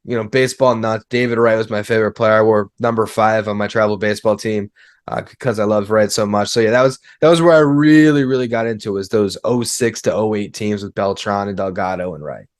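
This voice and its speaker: A man's voice: fairly low pitch, fairly even intonation, a little fast, dry recording, studio quality. A man in his twenties talking casually and at length about sports as a podcast guest.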